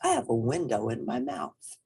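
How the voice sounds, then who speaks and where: A woman's voice: very deep voice, huge pitch swings, moderate pace, gravelly, warm tone, slightly rough, full character voice. A woman voicing an earnest, curious little girl rabbit in a storybook, with eager, childlike pleading.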